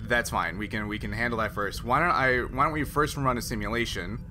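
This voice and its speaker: A man plays a male military scientist in a tabletop game, polite but easily put out. He explains things formally and carefully in a mid-range voice, with clear noise behind him. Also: mid-range voice, fairly quick, natural voice. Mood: mildly exasperated. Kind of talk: tabletop role-play. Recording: clearly noisy group recording.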